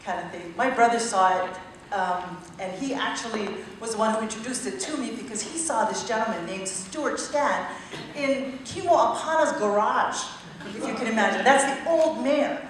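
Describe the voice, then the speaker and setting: A woman from Maui giving an energetic testimonial speech to a crowd at a business event. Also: fairly high pitch, moderate pace. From Maui, Hawaii. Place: large event room. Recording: echoey room from far off, light noise.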